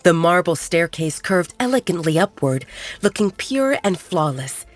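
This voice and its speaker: A woman's voice: fairly low pitch, sing-song, unhurried. A woman reading scripted dramatic narration as the narrator of an audio story. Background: background music.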